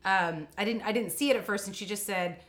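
A woman in her forties co-hosting a podcast, telling a story in an animated way and acting out the lines of the conversation. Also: mid-range voice, brisk. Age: 40s. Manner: animated storyteller, acts out dialogue. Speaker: podcast co-host. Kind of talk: casual podcast chat.

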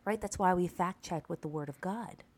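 A woman with a low-pitched voice hosts a preaching-style podcast while a little sick. She talks earnestly and emphatically and keeps turning to the listener with rhetorical asides. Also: moderate pace. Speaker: podcast host.

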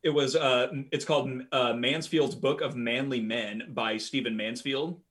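Talkative young podcast guest, earnest and casual, mid-range voice. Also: male voice, mid-range voice, fairly even intonation, a little slow, a bit bright. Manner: earnest and talkative, with many fillers. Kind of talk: casual interview talk.

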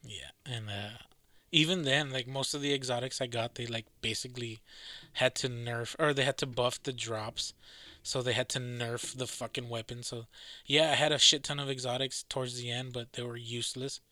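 A man in relaxed gaming banter, cursing casually and laughing easily. His voice is very bright and sits close on a dry mic. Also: mid-range voice, normal speed, dry recording. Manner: animated, swears a lot, laughs often.

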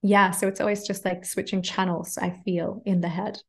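A woman chatting as an interview guest, lively and animated, talking through quick self-corrections. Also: mid-range voice. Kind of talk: casual conversation.

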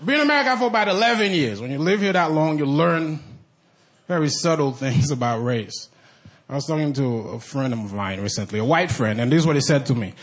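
A man from Haiti with a Haitian accent, performing stand-up comedy with animated delivery and acted-out voices. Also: fairly quick. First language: Haitian Creole. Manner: animated, acts out other voices with big pitch jumps. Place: comedy club stage with a laughing audience. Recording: live club audience laughter.

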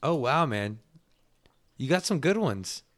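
A man's voice: mid-range voice, very animated, takes it slowly, a bit bright, dry recording. A man in his late twenties hosting a podcast, chatting casually with laid-back, slangy banter and some swearing. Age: late 20s. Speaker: podcast host. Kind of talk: casual chat.

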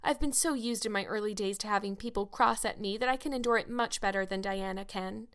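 A woman's voice: mid-range voice, brisk, fairly bright tone, clean tone, natural voice. A woman voicing an eager, dramatic young orphan girl, earnest and wordy, in a storybook reading. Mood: earnest pleading.

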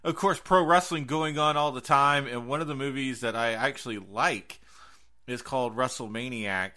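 A man's voice: medium pitch, normal speed, bright, forceful tone. An American man hosting a horror podcast, casually chatting through movie recommendations.

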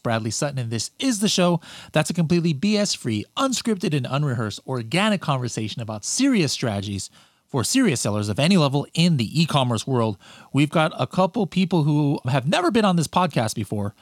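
A man's voice: a little high, dry recording, studio quality. Upbeat chatty male podcast host. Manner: upbeat, chatty, frequent restarts. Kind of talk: casual interview chat.

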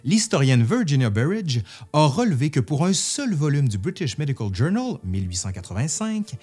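A man presenting history in scripted narration, reading out quotations in an articulate, expressive way. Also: fairly high pitch, normal speed, husky, soft background music.

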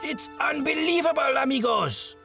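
A man voicing an exuberant male adventurer with a hoarse, broken voice. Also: very high voice, slow, over music, pitched above the performer's own voice, heavily acted. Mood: ecstatic. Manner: excited, cries out.

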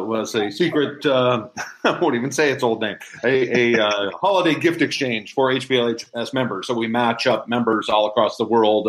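A man's voice: on the low side, a bit bright, rough recording. A man speaking as an interview guest, giving casual explanations in conversation. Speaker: interview guest, society co-founder.

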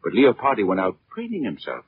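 A man's voice: lowish voice, wildly swooping pitch, brisk. Swooping, grumbling old-radio hotel man. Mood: grudging. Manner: defensive, wry, offhand. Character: wary, grumbling male hotel man, wryly talkative. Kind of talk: 1940s radio drama acting. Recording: muffled, noisy old radio recording.

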